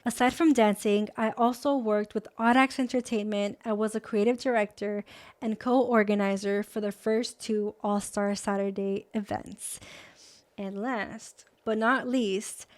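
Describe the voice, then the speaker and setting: A woman's voice: mid-range voice, fairly even intonation, unhurried, a little mellow. A woman in her twenties from Los Angeles, hosting and introducing herself in a chatty, upbeat way with a few Spanish words mixed in. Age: 20s. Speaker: host. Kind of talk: friendly scripted video introduction.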